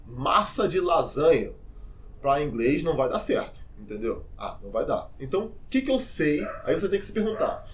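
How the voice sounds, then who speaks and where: A young man explains things in a loose, chatty way, talking straight to his viewers. His voice sits a bit high for a man, with a faint hiss of background noise. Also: normal speed. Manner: chatty, informal, many fillers and asides; lively, addresses viewers directly. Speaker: English teacher. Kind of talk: casual teaching vlog.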